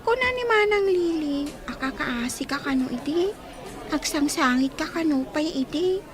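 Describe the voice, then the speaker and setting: A woman's voice: high-pitched, expressive, moderate pace, a crowd in the background. A woman playing a young, inquisitive and wistful little sister in a radio drama, with a childlike, questioning manner.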